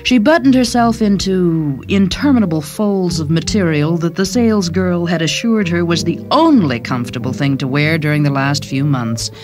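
A woman's voice: on the low side, lively intonation, normal speed, few pauses. A woman reading scripted short-story narration as the narrator. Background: music.

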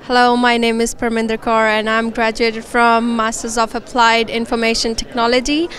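A woman's voice: on the high side, little pitch movement, normal speed, fairly bright tone. A young woman, a new graduate, speaking a thank-you message into a handheld microphone. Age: young adult. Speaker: graduating master's student.

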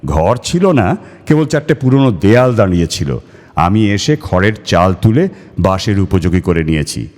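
A man's voice: deep voice, lively intonation, normal speed, darkish tone. A man voicing a hearty, jovial elder brother in a ghost story, exclaiming, repeating words and asking eager questions. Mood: pleased, eager. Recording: long hall reverb on voice.

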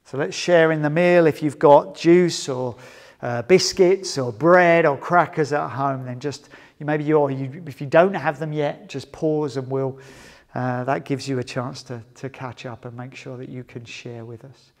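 A man in his forties, a pastor leading communion, speaking earnestly in short phrases with pauses and soft trailing endings. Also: on the high side, steady tone. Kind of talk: prayer and scripture reading for church service. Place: large empty indoor sports hall. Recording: echoey sports hall with long reverb.

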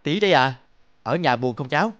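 Genial village uncle, slightly dull sound. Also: male voice, fairly high pitch, animated, fairly quick, a bit bright, no room sound. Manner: genial, avuncular. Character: friendly, hard-working village uncle.

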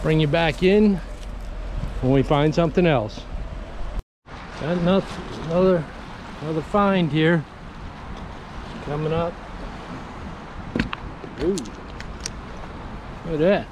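A man's voice: on the high side, takes it slowly, pauses often. A man in his forties, chatty and upbeat, narrating his magnet fishing from an old railroad bridge into a wearable camera. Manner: upbeat, chatty, talks to the viewer while working. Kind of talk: casual outdoor vlog narration. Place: outdoors on an old railroad bridge over water. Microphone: wearable action camera. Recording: noisy outdoor action-cam audio.

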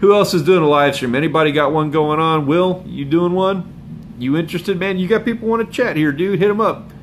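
A man hosts a fishing channel live stream from home, chatting loosely with viewers and sounding hoarse from a cold. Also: a little high, fairly quick, a little hiss or hum. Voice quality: rambling, laid-back, with chuckles and filler words. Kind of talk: casual live stream chat. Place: home room with bookshelves and a window. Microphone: phone camera up close.

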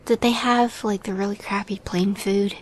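A woman playing a shy, sweet young catgirl roommate in a girlfriend roleplay, soft-spoken and hesitant, often slipping into a whisper. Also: medium pitch, fairly even intonation, normal speed, natural voice. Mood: friendly, casual. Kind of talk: girlfriend roleplay audio acting.

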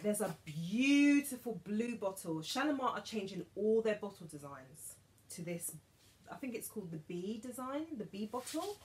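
A woman's voice: medium pitch, a little hiss or hum. Chatty British woman vlogging a haul. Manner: chatty, animated, drops to whispers for asides. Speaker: beauty vlogger. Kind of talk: casual haul chat to camera. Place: bedroom dressing room with vanity, indoors.

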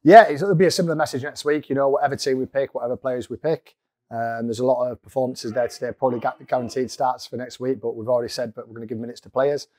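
A man in his forties, a football manager, giving a measured post-match interview into a lapel mic in a small indoor room, with frequent ums. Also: medium pitch. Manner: steady, measured, many ums. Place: small indoor room in front of a sponsor board.

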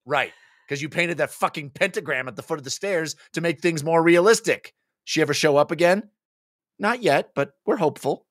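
A man voicing an excitable, sardonic, bickering gamer soldier in a dramatic comic reading. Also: fairly high pitch, normal speed, no echo, clean studio sound, pitched above the performer's own voice. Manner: exclaiming, sardonic. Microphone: close studio mic on boom arm.

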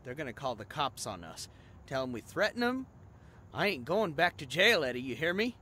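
A man's voice: on the high side, very animated, moderate pace, sharp tone, slight background noise. A man playing a hot-tempered young small-town thug in an audio drama, taunting in coarse slang. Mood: nervous, urgent. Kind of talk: audio roleplay acting.

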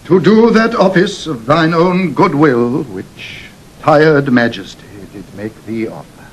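A solemn statesman declaims formally in a husky voice. The pace is very measured and slow, in the style of a Shakespearean audio play. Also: fairly high pitch, animated, exaggerated voice. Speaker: actor. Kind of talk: classical Shakespearean audio drama acting.

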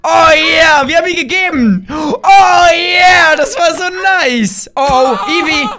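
A hoarse young man in his twenties, loudly and playfully commentating a game from a small room at home, talking into a desk mic while wearing a headset. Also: extremely high pitch, slow pace, very noisy. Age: young adult. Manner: loud, shouty, laughing, playful exclamations. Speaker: gaming YouTuber. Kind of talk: excited gameplay commentary.

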